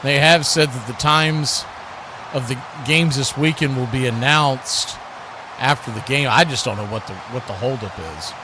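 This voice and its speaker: A man's voice: medium pitch, normal speed. A man doing live radio play-by-play commentary of a football game as the announcer. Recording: clearly noisy live broadcast.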